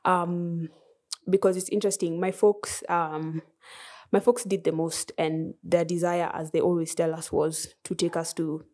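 A young woman in her twenties with a Kenyan accent, a podcast guest chatting casually, soft-spoken with frequent laughs and hesitations. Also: lowish voice, flat delivery, unhurried. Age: 20s. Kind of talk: casual interview chat.

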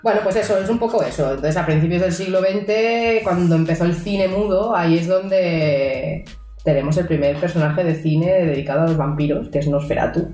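A woman's voice: lowish voice, moderate pace, faint music behind. Woman telling stories in a roomy room. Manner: lively storyteller who acts out quoted voices. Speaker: guest. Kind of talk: casual podcast chat. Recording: roomy echo.

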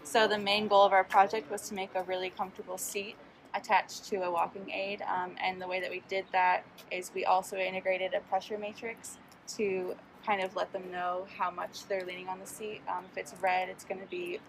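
A young woman with a gravelly voice explains a student engineering project, with pauses and ums. Her pitch stays flat. Steady background noise runs under her words. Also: poor audio. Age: young adult. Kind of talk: casual interview answer.

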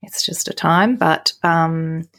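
A middle-aged woman with an Australian accent, a guest photographer talking in a relaxed, hesitant way with many pauses and fillers. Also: deep voice. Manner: hesitant, many ums and restarts. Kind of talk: casual interview conversation.

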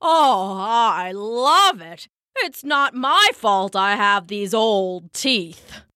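A woman voicing a grumpy but soft-hearted old man with bad teeth in a children's story, grumbling and drawing out the words. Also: medium pitch, expressive, no echo, studio quality, heavily acted. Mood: grumbling, defensive. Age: older. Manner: grumbling, slow, drawn-out delivery. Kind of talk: acted children's storybook character.

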